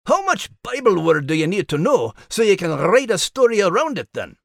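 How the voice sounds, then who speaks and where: A man's voice: high-pitched, sing-song, normal speed, creaky, no room sound, studio quality, full character voice. A man doing a hearty dog host. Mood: curious. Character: friendly male Scottish terrier co-host. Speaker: podcast co-host.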